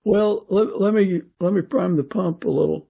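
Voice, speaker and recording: An older man hosting a casual interview, speaking in a halting, reflective way. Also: high voice, vocal fry, warm tone, husky. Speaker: podcast interviewer.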